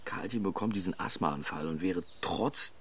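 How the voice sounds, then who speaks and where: A man's voice: deep voice, normal speed, fairly bright tone, husky, heavily acted. An actor playing a weary, talkative family father with a sardonic, colloquial manner in a naturalistic radio drama. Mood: weary, frustrated.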